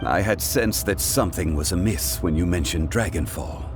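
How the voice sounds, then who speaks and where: An actor playing a composed ancient god in human guise, speaking in a dignified, measured way in a video game scene. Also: male voice, sits low, close to an everyday voice. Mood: grave. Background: orchestral game music.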